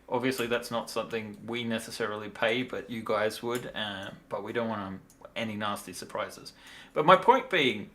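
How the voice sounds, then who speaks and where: A man's voice: mid-range voice, fairly bright tone. A tired man talking casually and in a rambling way as he hosts a livestream demo. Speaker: livestream host, miniature designer.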